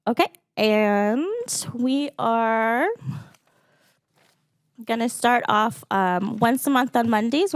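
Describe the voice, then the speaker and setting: A woman's voice: medium pitch, no echo. A woman library supervisor with a scratchy voice, giving a report at a public meeting with frequent ums.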